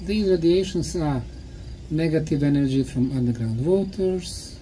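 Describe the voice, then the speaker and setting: A man lecturing in short, emphatic phrases, pausing for an interpreter, with a deliberate, didactic manner. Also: a little high, expressive, mellow, slight background noise, rough recording. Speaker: lecturer and guide.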